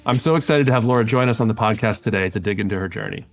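A man's voice: on the low side, very fast, creaky, soft background music. A man hosting a podcast, reading a polished intro and then asking his guest questions. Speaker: podcast host.

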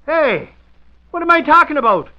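High-voiced excitable sidekick. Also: male voice, moderate pace, exaggerated voice. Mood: indignant, chatty. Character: excitable, chatty, bumbling Irish male sidekick. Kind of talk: 1940s radio drama acting. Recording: dull old radio recording.

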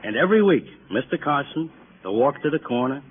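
A man's voice: mid-range voice, lively intonation, fairly quick, lightly acted. Man playing grumbling small grocer, tinny vintage sound. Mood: insistent, explaining. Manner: plain-spoken, repetitive, grumbling. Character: fussy, impatient neighbourhood grocer, a put-upon man. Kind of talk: 1950s radio drama acting. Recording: tinny, noisy old radio recording.

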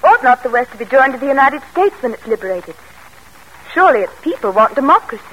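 A woman's voice: high voice, big pitch swings, quick pace, a bit bright. An actress in a classic radio drama playing a devoted, anxious young mother and daughter, earnest and genteel in manner. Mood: troubled. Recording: noisy old radio drama recording.

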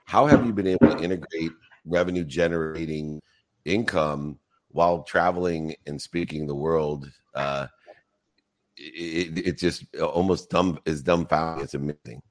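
A man's voice: rumbling low, steady tone, normal speed. A man hosting a live audio talk show, welcoming and questioning a guest in an effusive way, with many fillers. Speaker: show host.

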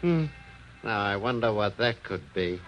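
A man's voice: on the low side, animated, measured pace, fairly bright tone. A man playing a sly, short street-corner informant, cagey and slangy with an American accent, in a 1940s radio noir drama. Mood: coy, angling. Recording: muffled, noisy vintage radio recording.

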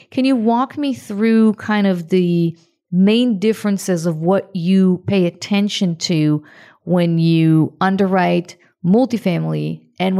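A woman's voice: on the low side, dark tone. A woman hosting a podcast, introducing guests and asking questions in a friendly, upbeat way. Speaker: podcast host.

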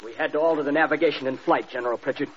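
Male actor as young lieutenant, fast delivery, earnest, deferential, muffled vintage radio sound. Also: on the high side, close to an everyday voice. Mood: contrite. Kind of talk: classic American radio drama acting. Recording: dull, noisy old radio recording.

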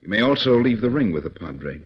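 An actor playing a calm, authoritative male government chief giving measured instructions in a 1940s radio drama. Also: fairly low pitch, breathy edge. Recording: dull old radio recording.

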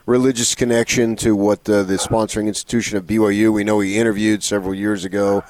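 A man's voice: on the low side, little pitch movement, quick pace, darkish tone, no echo. A man co-hosting a sports radio show, talking casually and conversationally as he builds up to questions.

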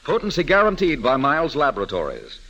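American man, 1940s radio ad announcer, husky and very animated, persuasive, old broadcast sound. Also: a little high, quick pace, muffled recording. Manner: emphatic, persuasive. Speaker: commercial announcer. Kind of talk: 1940s radio advertisement read.

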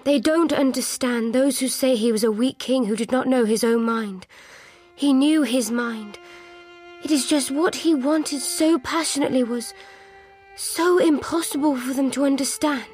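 Casting: thoughtful royal narrator, high voice, measured delivery, faint music underneath. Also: female voice, a little mellow, slightly rough. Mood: reflective. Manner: measured, reflective. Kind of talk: scripted dramatic narration.

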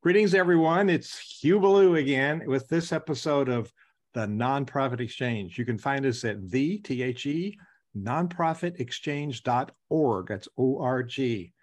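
A man's voice: mid-range voice, measured pace, a little mellow. A man from the American South hosting a podcast interview in a chatty, enthusiastic way. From Southern United States. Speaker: podcast host.

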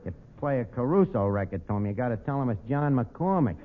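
A man's voice: mid-range voice, sing-song, a little fast, lightly acted. Male actor as streetwise New wisecracker running a tavern, pompous and sardonic, on a noisy, tinny vintage radio comedy recording. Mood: exasperated, sarcastic. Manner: sardonic, streetwise, malapropism-prone. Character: wisecracking, self-important tavern manager with mangled grammar. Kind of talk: 1940s radio comedy acting.

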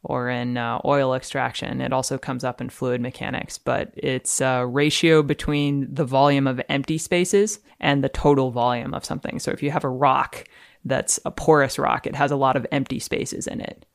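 A woman speaks as an expert podcast guest in a dry, close recording. She delivers academic language with precise, measured care and a deadpan seriousness. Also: very deep voice, gravelly, no room sound. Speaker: podcast guest, fluid dynamicist. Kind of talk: dramatic reading of academic text.

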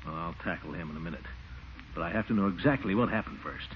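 Blustery uncle, muffled old radio. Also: male voice, low voice, lively intonation, quick pace. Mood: stern, reassuring. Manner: pompous, exclaiming, scolding. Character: blustery, flustered middle-aged uncle, self-important but kindly. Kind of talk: old-time radio comedy acting. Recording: muffled noisy vintage radio recording.

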